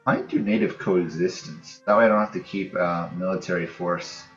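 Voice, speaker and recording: Low-voiced man musing over a game, roomy sound. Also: wildly swooping pitch, moderate pace, gravelly, a bit bright. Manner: thinking aloud, musing. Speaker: host of a gaming channel playing a strategy game. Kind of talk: casual let's-play commentary. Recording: dull, roomy home recording. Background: game music.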